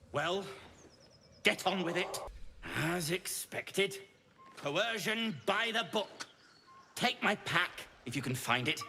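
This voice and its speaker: Grumpy, gravelly haughty gnome. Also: male voice, on the high side, pushed and bright, a little hiss or hum, full character voice. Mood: indignant. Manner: clipped, sardonic, formal wording. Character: grumpy, haughty male deep gnome adventurer.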